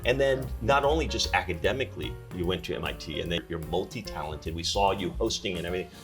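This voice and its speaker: A man's voice: low-pitched, fairly bright tone, soft background music. A man hosting a talk show who asks chatty questions, teases his guest and often restarts his sentences. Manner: chatty, teasing, halting restarts, bursts of laughter.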